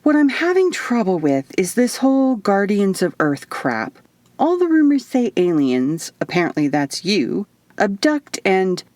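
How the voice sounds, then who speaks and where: A woman's voice: medium pitch, very animated, measured pace, darkish tone, no room sound. Woman as sarcastic young heroine, skeptical, flippant, casual audiobook dialogue, very dry sound.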